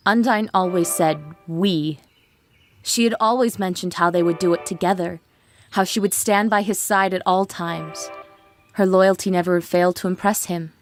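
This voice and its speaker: Low-voiced woman narrating a dramatic story.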